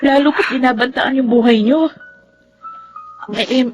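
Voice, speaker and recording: High-voiced, solicitous confidante. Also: female voice, a little slow, faint music behind, low-quality recording. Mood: concerned. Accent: Filipino. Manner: deferential, solicitous. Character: caring, respectful female employee, devoted wife and confidante. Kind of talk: Filipino radio drama acting.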